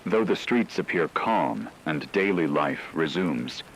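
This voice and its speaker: A man's voice: very deep voice, lively intonation, unhurried, slight background noise, poor audio. A man playing a solemn radio newscaster, narrating formally and ominously in a scripted radio drama. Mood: grave, ominous. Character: solemn male radio newscaster reporting supernatural terror. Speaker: radio news host.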